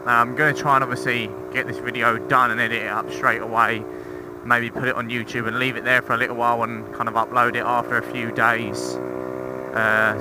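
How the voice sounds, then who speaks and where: A young man talking casually and informally into a helmet intercom microphone while riding a motorcycle, rambling through a review with some swearing and self-mockery. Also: mid-range voice. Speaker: motorcycle vlogger. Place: outdoors, riding a motorcycle on roads. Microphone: intercom microphone inside a helmet. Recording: helmet intercom mic with loud engine noise. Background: motorcycle engine and road noise.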